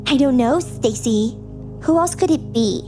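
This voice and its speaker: Woman doing a bratty, very high-voiced schoolgirl. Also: expressive, unhurried, music in the background. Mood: scornful. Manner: scornful, bratty. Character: snooty mean schoolgirl, bossy and scornful. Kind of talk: audio drama acting.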